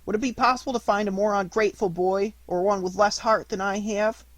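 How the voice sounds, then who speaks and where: Man voicing an earnest, high-pitched puppet boy. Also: fairly even intonation, brisk, no room sound, natural voice. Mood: remorseful, earnest. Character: earnest young boy puppet, impulsive and pleading.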